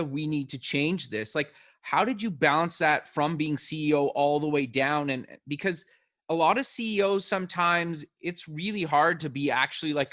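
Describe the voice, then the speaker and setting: Higher-voiced man interviewing. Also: a bit bright. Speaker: podcast host, interviewer. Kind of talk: conversational interview questions.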